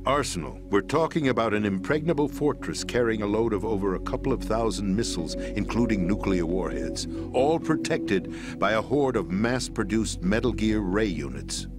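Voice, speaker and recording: An actor playing a middle-aged president in a video game, explaining a conspiracy in a measured, grave manner. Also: male voice, on the low side, lively intonation, over music, natural voice. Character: calm, grave middle-aged man, president briefing via codec. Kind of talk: video game cutscene voice acting.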